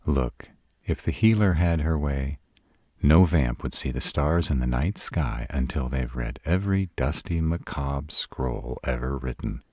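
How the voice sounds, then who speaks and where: A man's voice: very deep voice, a little slow, a little mellow, husky, no echo, exaggerated voice. A man playing a sarcastic, proud city-bred vampire in a scripted audio roleplay, with dry, sardonic delivery. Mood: bitter, sardonic. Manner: sardonic, dry, rhetorical questioning.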